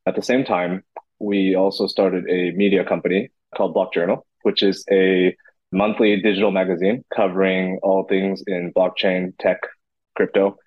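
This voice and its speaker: A man's voice: low voice, little pitch movement, normal speed, no room sound, dull audio. A man in his thirties who grew up in Beijing, answering interview questions thoughtfully with frequent restarts. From Beijing, China. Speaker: podcast guest, entrepreneur.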